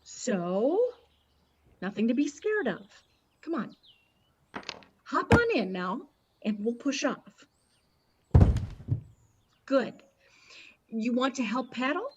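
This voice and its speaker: A woman's voice: a little high, very animated, slow pace, long pauses, dull audio, noisy background. A woman playing a stubborn, superstitious, scornful wife and mother in a radio play, emphatic and accusing. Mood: brisk, firm. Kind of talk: dramatic radio play acting.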